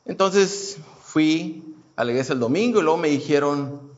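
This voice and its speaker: A man's voice: on the high side, expressive, moderate pace, highs cut off. Hesitant man telling his testimony. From Houston, United States. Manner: hesitant, many fillers and restarts. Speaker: churchgoer giving a testimony. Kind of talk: personal testimony, casual storytelling.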